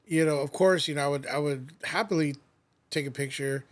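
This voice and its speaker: Rambling podcast host, higher-voiced man. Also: brisk. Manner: rambling storyteller, many restarts and "like". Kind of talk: casual podcast chat.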